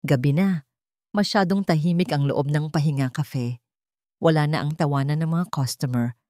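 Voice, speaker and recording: Low-pitched woman, dramatic storyteller. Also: moderate pace, dark tone, no room sound, studio quality. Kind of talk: dramatic scripted narration.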